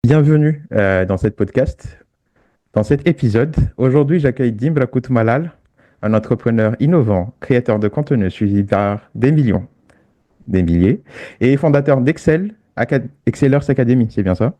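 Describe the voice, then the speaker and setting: A man presents an interview podcast as its host. His voice is soft-edged and mellow at a medium pitch, recorded very dry with hardly any room around it. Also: animated, normal speed, dry recording.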